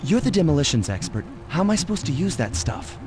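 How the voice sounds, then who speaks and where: A man voicing a suave, cocky young raccoon thief in a cartoon video game, smooth and wisecracking. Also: fairly high pitch, big pitch swings, fast. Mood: casual, confident.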